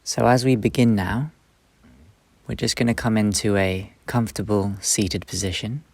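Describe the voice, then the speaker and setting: A man leading a guided meditation, speaking slowly and gently in short, soft phrases with long pauses. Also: on the low side, steady tone, creaky, no echo. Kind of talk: guided meditation, instructional and soothing.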